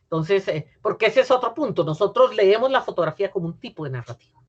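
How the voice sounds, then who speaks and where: A middle-aged woman with a Colombian accent lectures in a measured, explanatory way, often pausing on "eh" and correcting herself mid-phrase. Her voice sits at an ordinary middle pitch. The sound is a dull, muffled video call. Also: expressive, darkish tone, breathy edge. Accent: Colombian Spanish. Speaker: university professor giving a lecture.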